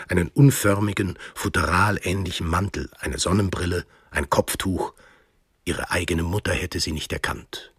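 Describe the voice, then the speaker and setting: A man narrating a scripted radio drama in a measured, literary storytelling manner, with a deep, husky voice. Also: fairly bright tone, no room sound, studio quality.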